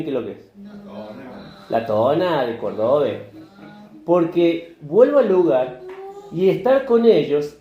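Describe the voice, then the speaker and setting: A man's voice: on the high side, dark tone, lo-fi sound. A man with an Argentine accent, a pastor preaching a conversational sermon in a church, asking the congregation questions and acting out short bits of dialogue. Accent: Argentine Spanish. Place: church hall. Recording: echoey church room, faint ambience.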